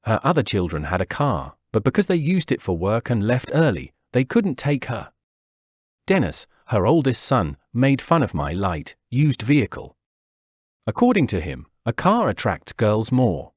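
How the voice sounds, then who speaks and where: A man's voice: medium pitch, huge pitch swings, normal speed, no room sound. A man, reading a wistful first-person memoir. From Peru. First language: Spanish. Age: 40s. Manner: wistful, reflective. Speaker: narrator. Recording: dull dry lo-fi recording.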